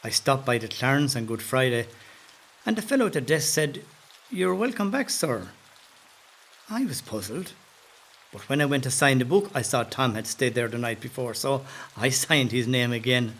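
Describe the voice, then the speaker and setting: An actor with an Irish accent playing a rebel's affable, wry brother in a first-person audio drama monologue. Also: male voice, mid-range voice, lively intonation, moderate pace, fairly bright tone. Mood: affable, amused.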